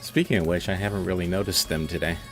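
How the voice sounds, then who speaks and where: American man, deep, relaxed tour guide on a stream with faint music. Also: fast talker. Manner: easygoing, chuckles at his own jokes. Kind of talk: casual voice chat on a live stream.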